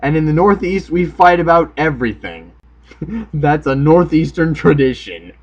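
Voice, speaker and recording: An American man with a fairly high voice and a subtle Northern accent talks casually, as a video host would, without a script. He tells things in a wry, argumentative, joking way. The recording is somewhat dull and lo-fi. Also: expressive, moderate pace, breathy edge. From Florida, United States. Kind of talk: casual unscripted talk to camera.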